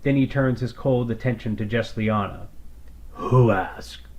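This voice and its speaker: A man's voice: mid-range voice, normal speed, darkish tone. A man narrating a scripted science fiction story as its storyteller.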